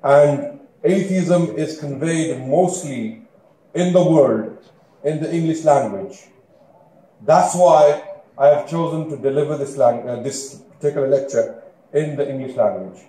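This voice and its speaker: A man whose first language is Urdu delivers a formal lecture from a podium in a large auditorium. His tone is mellow and measured. The hall gives his voice a roomy echo over a faint hum of ambience. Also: fairly high pitch. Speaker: guest lecturer. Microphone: podium mic, seen from a far camera. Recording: echoey hall, faint room noise.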